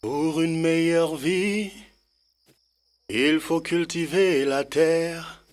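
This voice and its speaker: An actor playing a kindly farmer who gives slow, instructive advice in an educational children's cartoon dub. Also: mid-range voice, soft background music. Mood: singsong. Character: kindly farmer working the land, neighbour to the children.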